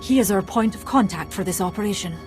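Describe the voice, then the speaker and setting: A self-assured female military researcher from a science fiction game, performed by a woman. Her medium-pitched voice is formal with a dry, teasing edge. Music plays clearly behind her. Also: fast, natural voice. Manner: formal yet wry and needling. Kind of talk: video game cutscene acting.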